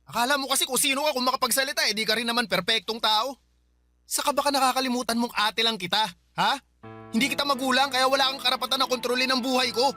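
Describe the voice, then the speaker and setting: A rapid-fire young Filipino man as a resentful younger brother in a radio drama. His tone is sharp and forceful, argumentative and whiny as he defends himself. Faint music can sit behind him. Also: very high voice, steady tone, heavily acted. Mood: defiant, resentful. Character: defiant, resentful college-dropout younger brother.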